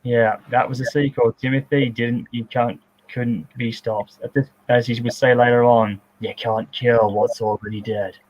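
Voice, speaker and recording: A man's voice: flat delivery, normal speed, few pauses, darkish tone. Casting: thirties man, rambling chatty commentary, slightly dull call audio. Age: 30s. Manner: rambling, self-described distinctive high voice. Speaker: filmmaker giving commentary. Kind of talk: casual commentary chat.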